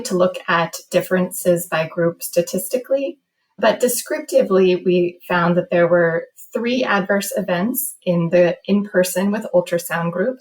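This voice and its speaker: A woman researcher explaining study findings in an interview, in a measured and precise way. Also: fairly low pitch, low-quality recording. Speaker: medical researcher, study author.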